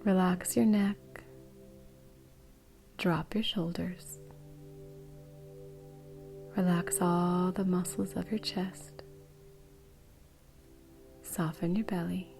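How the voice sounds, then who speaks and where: Woman, meditation guide, soft breathy delivery, slow pace, mellow tone, soothing, quiet music with singing bowl behind. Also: on the low side, steady tone.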